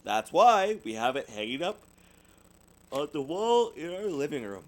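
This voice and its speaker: A gentle, patient storybook mother bear, as a man reads her. She explains lessons with expressive, moving intonation. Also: fairly high pitch, unhurried, pitched above the performer's own voice. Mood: emphatic.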